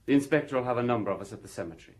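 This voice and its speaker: Casting: man playing junior police officer, medium pitch, respectful and factual, orderly delivery, radio drama. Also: expressive, very fast, lightly acted. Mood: matter-of-fact.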